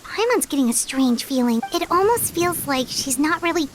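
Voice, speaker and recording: A woman voicing a small, childlike fairy companion in a video game, earnest and expressive. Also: high voice, moderate pace, a little hiss or hum. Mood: puzzled, concerned. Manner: speaks of herself in third person, earnest. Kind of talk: cartoonish video game voice acting.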